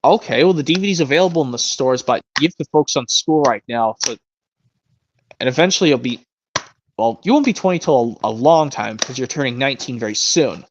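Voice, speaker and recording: A man's voice: mid-range voice, lively intonation, brisk, a little hiss or hum. A man in his twenties hosting a casual live stream, rambling and often restarting his sentences. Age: 20s. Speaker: stream host. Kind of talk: casual chat. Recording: muffled lo-fi stream audio.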